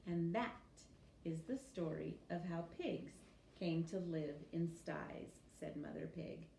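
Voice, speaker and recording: A woman reading a children's story aloud in an expressive teacher's storytelling style. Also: lowish voice, slow pace, gravelly. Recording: roomy echo with faint background noise.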